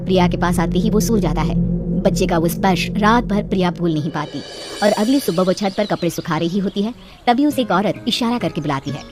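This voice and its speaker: A woman's voice: fairly low pitch, expressive, a little fast, music in the background. A woman narrating a spooky cartoon bedtime story in a dramatic, suspenseful way.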